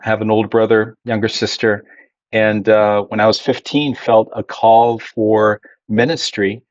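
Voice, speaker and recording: A middle-aged man from Ecuador, a pastor being interviewed, telling his story in a measured, reflective way. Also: fairly low pitch, little pitch movement, no echo, dull audio. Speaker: Spanish ministry pastor, guest. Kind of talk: conversational interview.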